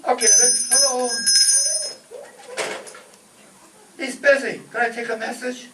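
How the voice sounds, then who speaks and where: Man playing a very high-voiced, earnest teenage son. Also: level tone, brisk. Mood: excited. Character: eager, polite teenage son of the family. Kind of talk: old-time radio comedy acting, performed live. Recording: roomy distant pickup, clearly noisy background.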